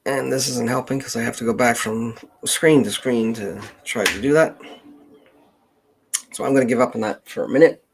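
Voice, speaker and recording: A man hosting an unscripted livestream Q&A, speaking haltingly as he thinks aloud. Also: mid-range voice, slightly rough. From Canada. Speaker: genealogist hosting a live Q&A.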